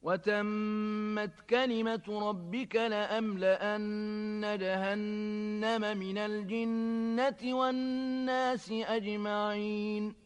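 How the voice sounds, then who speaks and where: High-voiced man chanting slow melodic recitation. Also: fairly even intonation, clean tone. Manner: drawn-out chanted phrases with long held vowels. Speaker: Quran reciter.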